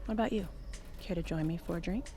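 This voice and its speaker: A woman's voice: fairly low pitch, quick pace, dark tone, a little hiss or hum, natural voice. A woman acting a sociable, teasing hotel guest in an audio drama. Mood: coy, friendly.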